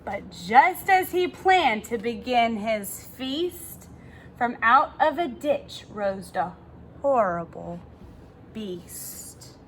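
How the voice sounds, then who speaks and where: A woman reading a children's story aloud expressively, pausing to ask her young listeners questions like a teacher. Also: fairly high pitch, a little hiss or hum, poor audio. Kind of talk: animated children's read-aloud.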